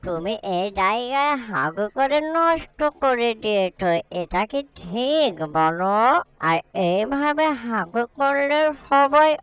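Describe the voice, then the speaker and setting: Woman voicing a clear, fussy talking magic pot. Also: on the high side, animated, slow, a bit bright, no room sound. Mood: coaxing. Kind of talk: cartoon voice acting.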